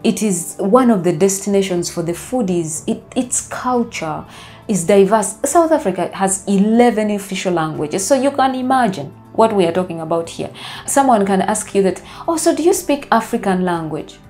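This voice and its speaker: A woman's voice: medium pitch, lively intonation, normal speed, darkish tone. A Ugandan woman in her thirties chats straight to camera, her English shaped by her first language, Luganda. She talks things through as she goes and gestures freely with her hands. Faint music plays behind her lapel-miked voice. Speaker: travel vlogger. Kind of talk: casual talk to camera. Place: bright living room indoors. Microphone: lapel mic.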